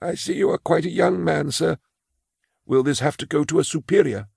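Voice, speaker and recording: A man's voice: a little high, moderate pace, darkish tone, dry recording, clean studio sound, full character voice. An actor playing a frail, elderly male clerk who is anxious and quavering, in an audio drama. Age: older. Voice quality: gravelly, husky.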